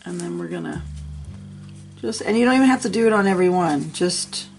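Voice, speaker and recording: A middle-aged woman with a lowish, gravelly voice talks through a coloring lesson in a chatty, encouraging way, half to herself. She is a little under the weather and sniffles now and then, and faint music plays underneath. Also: lively intonation, a little fast. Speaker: coloring tutorial host. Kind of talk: casual step-by-step coloring tutorial.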